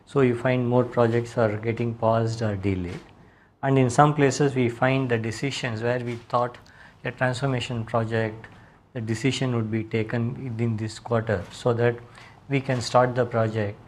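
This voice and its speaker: Indian guy, company exec explaining results, mid pitch, kinda halting. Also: steady tone, a little hiss or hum. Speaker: company executive.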